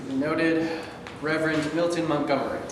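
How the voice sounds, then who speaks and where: A man's voice: on the high side, fairly even intonation, a little fast, close to an everyday voice. A man reading the part of a formal, officious court-appointed mediator in a staged reading of a play. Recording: echoey hall from far off, background noise.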